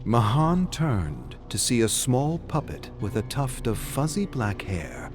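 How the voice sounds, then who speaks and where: A man reading dramatic scripted fiction as narrator, in a measured, suspenseful storytelling manner. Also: medium pitch, sing-song, faint music behind.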